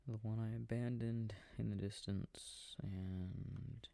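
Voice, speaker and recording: A man playing an affectionate, easily startled boyfriend in an intimate sleep-aid roleplay, rambling softly to himself about his game and often drifting into whispers. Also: lowish voice, fairly even intonation, slow pace, vocal fry, dry recording. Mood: absorbed, muttering.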